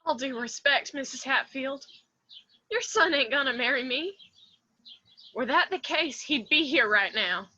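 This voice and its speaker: A woman's voice: high voice, lively intonation, a little slow, pauses often, pushed and bright, muffled recording, heavily acted. A woman playing a proud, heartsick young woman in a radio drama. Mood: hurt, defiant.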